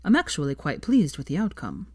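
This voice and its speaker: A woman's voice: sits low, huge pitch swings, brisk, warm tone, breathy edge, no echo, heavily acted. Woman reading as a dry, unruffled young wizard. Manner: dry, comfortable, grumbling when crossed.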